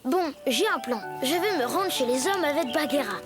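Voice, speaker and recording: A woman's voice: high-pitched, expressive, moderate pace, over loud music. A woman voicing a plucky, determined young jungle boy in a cartoon dub. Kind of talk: French cartoon dub acting.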